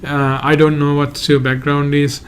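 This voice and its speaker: A man from Sri Lanka, a migration agent hosting a live Q&A and answering viewer questions in a halting, hedging way. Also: on the high side, flat delivery, a little hiss or hum. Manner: halting, hedges often, reads out viewer questions.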